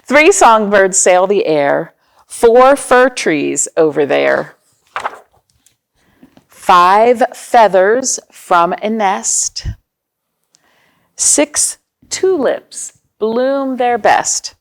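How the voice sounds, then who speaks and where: A woman with a creaky voice hosting storytime for young children, reading aloud and chatting to them in simple explanations and questions. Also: medium pitch, expressive, extremely slow, pauses often. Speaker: children's storytime host at a library.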